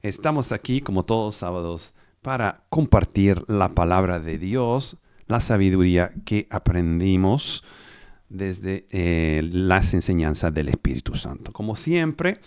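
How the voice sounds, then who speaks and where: A man teaching a live online Bible study in Spanish, which is not his first language, explaining scripture step by step and pausing on fillers. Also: sits low, expressive, darkish tone, clear, resonant tone, no echo, clean studio sound. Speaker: preacher.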